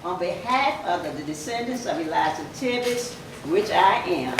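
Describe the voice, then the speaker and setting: A woman speaking as a society president, giving a formal, measured address at a ceremony. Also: fairly low pitch, lively intonation. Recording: echoey hall from far off, noisy.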